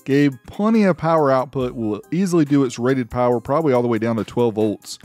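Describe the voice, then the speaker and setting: A man's voice: mid-range voice, fairly quick, darkish tone. Casual man reviewing gear over music. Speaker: host reviewing car audio amplifiers.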